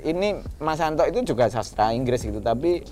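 A man with a Javanese accent, a guest on a podcast, talking in a lively way and mixing in Javanese phrases. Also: medium pitch, brisk, noisy background. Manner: animated, mixes in Javanese phrases, laughs often. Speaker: hip hop collective member, guest. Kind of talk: casual podcast chat.

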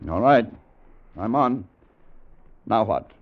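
An actor playing a skeptical gentleman drawn into adventure, with a theatrical manner, in a 1950s radio drama. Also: lowish voice, lively intonation. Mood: nervous, hesitant. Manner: halting, incredulous, theatrical. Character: skeptical, hesitant gentleman friend turned awed adventurer. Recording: muffled, noisy old radio broadcast.

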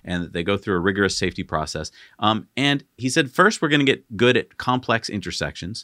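A man's voice: on the low side, animated, a little fast, pushed and bright, dry recording, studio quality. A man co-hosting a conversational news recap, relaying questions and answers in casual paraphrase. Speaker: co-host.

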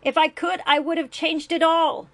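Give me a woman playing a soft-spoken, guilt-ridden father. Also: medium pitch, normal speed, dry recording, close to an everyday voice. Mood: desperate. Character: remorseful middle-aged father, gentle and guilty.

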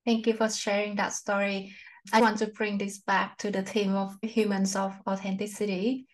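A woman hosting a podcast interview, asking questions gently and thanking her guest, with non-native phrasing. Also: medium pitch, level tone, normal speed. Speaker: podcast host.

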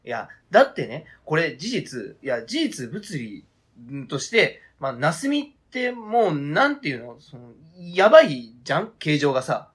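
A man acting a hesitant, flustered partner in an amateur radio drama, protesting in a halting, conversational way. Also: fairly high pitch, big pitch swings, breathy edge, full character voice. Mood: reluctant objection.

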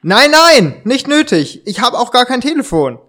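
A man playing a polite, agitated writer in an amateur German detective radio play, haltingly stumbling over his lines. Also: extremely high pitch, big pitch swings, sharp tone, full character voice. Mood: flustered.

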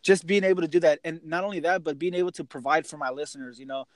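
Casting: male interviewer around thirty, slightly high voice, chatty and informal, lots of "you know", very dry recording. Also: very fast, no room sound. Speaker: podcast host. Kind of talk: casual conversational interview.

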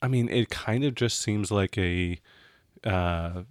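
A man with a fairly low voice narrates a tabletop game as game master. He improvises his descriptions conversationally, feeling his way through them haltingly. The sound is dry, with little room on it. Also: no room sound.

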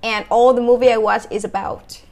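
A young Vietnamese woman answering interview questions in halting English with a Vietnamese accent and many fillers. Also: on the high side, steady tone, a little mellow. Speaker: guest, English teacher.

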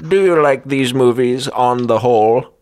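Guy podcast cohost, jokey casual banter, middle pitch voice. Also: measured pace, a little mellow, clear, resonant tone. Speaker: podcast co-host.

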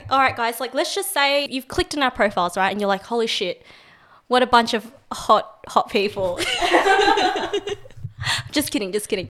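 A woman, hosting a casual podcast chat, lively and quick to laugh and swear. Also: fairly high pitch, slight background noise. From Australia. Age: 20s. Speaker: podcast host.

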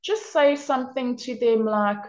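A South African woman, a guest in a casual interview, giving earnest, energetic advice. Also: on the high side, a little slow, echoey room, highs cut off.

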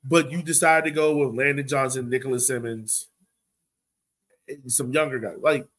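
A man's voice: mid-range voice, fairly even intonation, moderate pace, a bit bright. A man co-hosting a sports podcast, talking casually and emphatically, pressing his point by repeating it. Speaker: podcast host.

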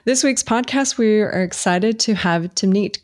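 A woman's voice: mid-range voice, normal speed, a little mellow, no room sound, clean studio sound. A woman co-hosting a tech podcast, chatting casually and upbeat as she introduces segments and guests. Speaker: podcast co-host.

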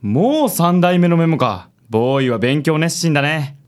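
Suave, vain gentleman with theatrical swoops, acted by a man. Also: on the high side, expressive, moderate pace, natural voice. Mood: suave, pleased. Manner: smooth, self-assured, affected. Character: suave, vain handsome company president, sprinkles English. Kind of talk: Japanese comic radio drama acting.

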